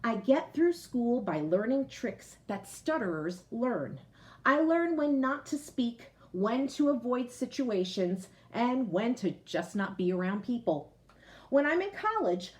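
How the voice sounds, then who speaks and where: A woman reading a picture book aloud to children like a teacher, expressive, pausing to explain and put questions directly to young listeners. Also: fairly high pitch. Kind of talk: storybook read-aloud with explanatory asides.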